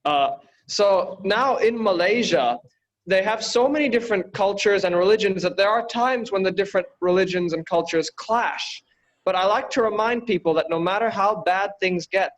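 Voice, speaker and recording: A man from Bangladesh doing a stand-up set over a video call, delivering jokes in a dry, deadpan way. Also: sits high, moderate pace, poor audio. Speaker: stand-up comedian.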